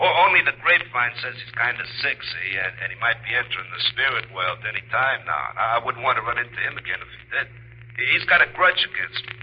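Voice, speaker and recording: A man's voice: medium pitch, big pitch swings, fast talker, breathy edge. An actor playing the cocky, slangy ghost of a railroad hobo who talks like a chummy tough guy in radio comedy. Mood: nervous. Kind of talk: 1950s radio comedy acting. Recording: muffled old radio recording, hum and hiss.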